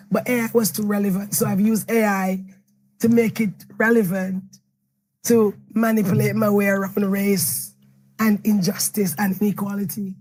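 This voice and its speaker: A hoarse woman author with a Jamaican accent, telling long, rambling stories and correcting herself as she answers questions. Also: medium pitch, darkish tone, poor audio. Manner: rambling, self-correcting storytelling. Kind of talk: interview answer at a book event.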